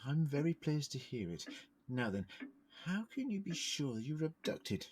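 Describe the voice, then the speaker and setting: A man's voice: on the high side, sing-song, moderate pace, a little mellow, slightly rough. A man playing a curious, reassuring space-traveller in an audio drama, polite and a little hesitant. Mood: inquisitive.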